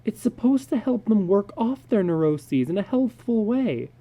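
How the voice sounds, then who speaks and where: Casting: man playing an anxious mother in a dramatic story, high and mellow, softly worried. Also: very animated, normal speed, full character voice. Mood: worried, questioning.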